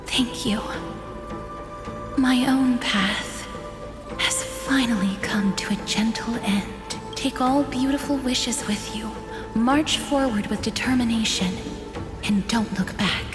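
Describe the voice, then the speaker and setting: A woman playing a serene, fragile young woman in a game, speaking gently and poetically of fate and parting. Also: fairly high pitch, slow pace. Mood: gentle farewell. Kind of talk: dramatic game voice acting. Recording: echoey reverb with clear music behind.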